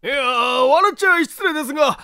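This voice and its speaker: Man acting a high creaky excitable gentleman. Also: heavily acted. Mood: roaring amusement. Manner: exclamatory, mocking politeness, drawn-out guffaws. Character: excitable, polite Japanese gentleman, astonished then heartily amused. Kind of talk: audiobook character reading.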